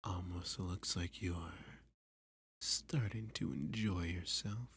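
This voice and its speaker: A man playing a dominant, flirty villain who taunts a hostage in an intimate ASMR roleplay, often dropping to a breathy, teasing whisper. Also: low voice, fairly even intonation, a bit bright, a little hiss or hum, full character voice. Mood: smug, flirty. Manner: slow, teasing, sadistic, possessive.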